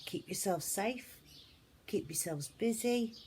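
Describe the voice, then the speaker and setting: Female children's storyteller, teacherly, unhurried and mellow, faint noise and birdsong behind. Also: mid-range voice, expressive. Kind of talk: expressive children's story reading.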